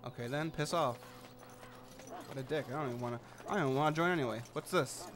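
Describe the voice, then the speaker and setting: Flippant, sweary mid-pitched male gamer. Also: fast talker, noisy background. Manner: sweary, flippant, muttering to himself. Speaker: gamer commentating on own play. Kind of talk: casual let's play commentary.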